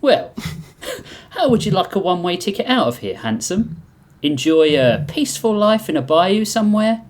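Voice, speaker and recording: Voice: medium pitch, very animated, moderate pace, pitched above the performer's own voice, close to an everyday voice. Man doing a cocky little alien mob boss. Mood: smug coaxing. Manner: cocky, slangy, needling. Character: small, intensely arrogant alien mob boss, cocky, needling.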